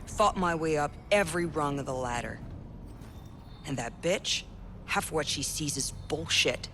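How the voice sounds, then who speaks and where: A man's voice: a little high, unhurried, pushed and bright, a little hiss or hum. A man playing a cocky, foul-mouthed, cynical mercenary in a video game cutscene, with a brash sneering manner. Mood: bitter, sneering.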